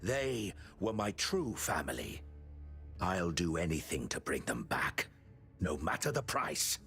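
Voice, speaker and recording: An actor playing an obsessive, callous puppet-maker villain in a video game, theatrical, swinging between musing and menace. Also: male voice, deep voice, very animated, a little slow, gravelly, fairly bright tone, soft background music, exaggerated voice. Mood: obsessive, bitter.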